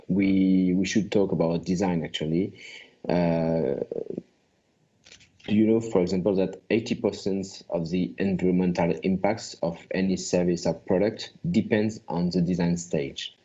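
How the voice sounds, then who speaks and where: A man with a very deep voice speaks as a panelist and company founder. He explains his work informally and haltingly, often searching for words, over slightly muffled audio. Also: dark tone.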